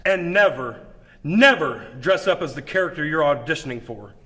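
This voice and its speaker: A man's voice: on the high side, animated, normal speed, sharp tone. A man presenting scripted instructional tips on acting.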